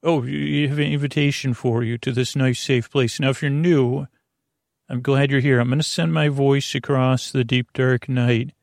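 A man hosting a bedtime podcast in a creaky voice, wandering haltingly through tangents and tripping over his words. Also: medium pitch, steady tone, a little mellow, no room sound, clean studio sound. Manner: meandering, halting, digressive, stumbling over words. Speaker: podcast host. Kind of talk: rambling bedtime podcast monologue.

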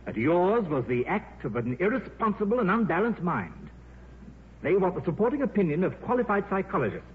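An actor playing a candid, concerned colleague delivering bad news in a 1950s radio drama. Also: male voice, sits high, sing-song, brisk. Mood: grave, concerned. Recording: narrow hissy 1950s radio recording with hum.

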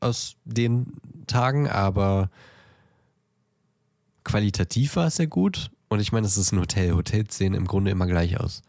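A low male voice in a dry, close recording. He talks casually as a podcast co-host, feeling his way through sentences with halting repetitions. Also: darkish tone, no echo. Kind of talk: casual chat about films.

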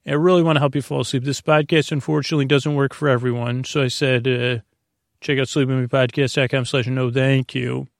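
A man hosting a bedtime podcast in a creaky, soothing voice, rambling through improvised tangents with mumbles and stumbles over his words. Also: mid-range voice, little pitch movement, a little fast, no room sound, clean studio sound. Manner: soothing, lulling delivery. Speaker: podcast host.

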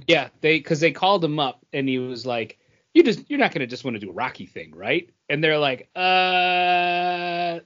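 A man's voice: on the high side, very animated, moderate pace, fairly bright tone, dull audio. A man co-hosting a podcast, chatting casually and retelling anecdotes with quoted dialogue. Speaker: podcast co-host.

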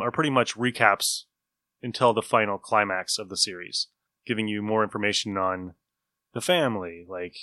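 A man hosts in a casual, unscripted way. His voice is slightly low but bright and crisp, recorded very dry and close. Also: no room sound. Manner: informal, conversational. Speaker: podcast host.

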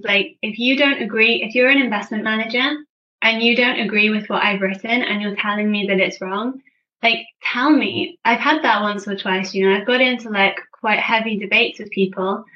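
A woman's voice: on the high side, moderate pace, lo-fi sound. A woman speaking as a podcast guest in casual conversation, effusive and candid, with informal phrasing peppered with "like". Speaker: podcast guest, finance blogger and writer. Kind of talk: casual interview chat.

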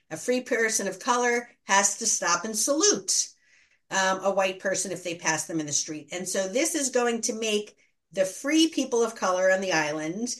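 A woman's voice: medium pitch, a bit bright. A woman speaking as an academic interview guest, explaining in a thoughtful, didactic, conversational way and correcting herself as she goes. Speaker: history scholar and teacher, interview guest.

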